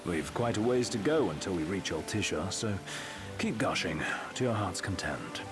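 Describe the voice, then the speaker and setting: A refined, low voice for an analytical game adviser. He is formal and dry, yet varies his pitch a great deal. Loud music sits behind the speech. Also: animated, normal speed. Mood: composed. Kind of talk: video game cutscene acting.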